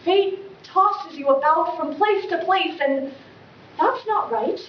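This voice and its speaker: A woman acting a distraught, pleading aristocratic mother in a melodramatic classical stage monologue with dramatic pauses. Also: very high-pitched. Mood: pleading, wretched. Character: distraught, pleading aristocratic mother losing her beloved home. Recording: distant mic, echoey room, dull, faint noise.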